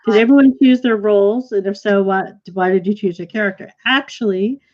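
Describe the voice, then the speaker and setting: A woman's voice: medium pitch, brisk, a little mellow. A woman hosting a casual panel discussion as a theater director over a video call. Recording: slightly dull lo-fi video call audio.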